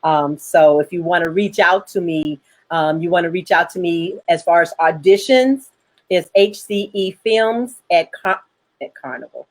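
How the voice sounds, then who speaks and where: A woman's voice: lowish voice, measured pace. A woman hosting a casual online panel chat, speaking frankly and jokingly as a filmmaker. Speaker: host, filmmaker.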